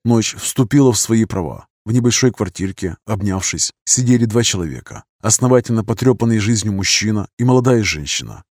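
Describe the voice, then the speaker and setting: A man's voice: lowish voice, no echo. Man narrating a novel, dry close sound. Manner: even, measured storytelling. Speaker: audiobook narrator.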